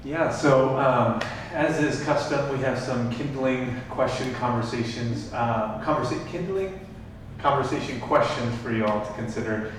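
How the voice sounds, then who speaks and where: A man's voice: medium pitch, measured pace, slight background noise. A man organizing an event, making loose announcements to the audience with many fillers. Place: large room at a live event. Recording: echoey hall, speaking from far off.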